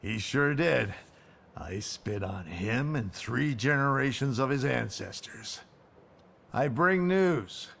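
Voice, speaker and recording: A gravelly male voice with big, lively swings of pitch. He is a blunt, sardonic grumbler from a period video game, gruff and irreverent. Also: takes it slowly, heavily acted. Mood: gloating. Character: sardonic, grumbling, blunt man in old Warsaw. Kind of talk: video game cutscene acting.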